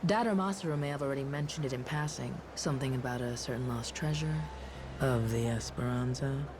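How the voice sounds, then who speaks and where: A woman's voice: extremely low pitch, a little fast, noisy background. An actress voicing a tough, self-assured young woman bodyguard in a video game cutscene, casual and slangy. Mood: businesslike.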